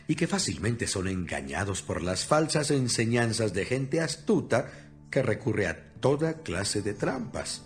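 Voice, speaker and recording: A man narrates an audio Bible with a wide, lively swing in intonation. His delivery is earnest and instructive, in the manner of dramatized scripture reading. Music plays clearly behind his mid-pitched voice. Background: background music.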